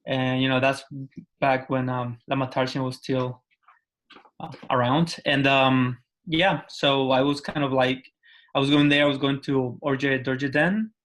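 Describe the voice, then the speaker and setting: A man's voice: mid-range voice, flat delivery, a little slow, fairly bright tone. Hesitant man on a video call. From Colombia. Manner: hesitant, many ums and yeahs. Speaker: workshop participant. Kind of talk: casual video call chat.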